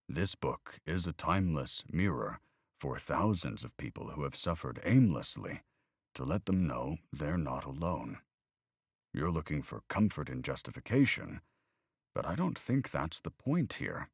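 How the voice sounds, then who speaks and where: Man doing a weary older man, very deep, measured, reflective, dry close sound. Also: breathy edge, no echo, heavily acted. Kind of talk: audiobook character voice.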